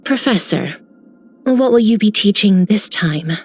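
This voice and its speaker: Mythic heroine, game character, medium pitch, composed, eloquent, poised. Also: female voice, expressive, measured pace, husky, faint music behind. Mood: wistful, gentle. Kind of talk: video game character voice lines.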